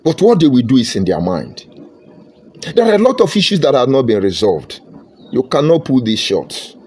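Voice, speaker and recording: A man's voice: mid-range voice, very animated, normal speed, a little mellow, husky. Fervent Nigerian man ranting. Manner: fervent and combative, slipping into Pidgin phrases. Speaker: political commentator. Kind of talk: impassioned political monologue.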